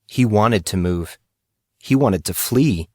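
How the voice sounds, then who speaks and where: Male narrator, low pitch, very slow, measured, dramatic, scripted audio drama. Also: expressive, warm tone, no echo, clean studio sound.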